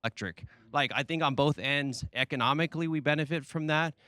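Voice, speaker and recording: A man's voice: fairly high pitch, brisk, sharp tone, clean tone, no room sound, clean studio sound. A man arguing his point on a discussion panel, rambling and hesitant. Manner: rambling, hesitant, many fillers and restarts. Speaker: panel debate guest.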